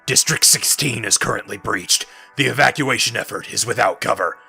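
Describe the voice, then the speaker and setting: An actor playing a gruff older heroic commander in an audio drama, forceful and blunt, with a rough, gravelly voice. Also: male voice, fairly low pitch, normal speed, exaggerated voice. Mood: resolute, rousing. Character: gruff, defiant heroic older military commander, part synthetic. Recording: music under the voice.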